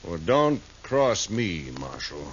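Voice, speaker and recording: Slick menacing gambler boss, old western radio show, lively smug intonation, muffled noisy sound. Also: male voice, deep voice, takes it slowly, full character voice. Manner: smug, threatening. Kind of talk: 1950s western radio drama acting. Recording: dull, noisy vintage radio recording.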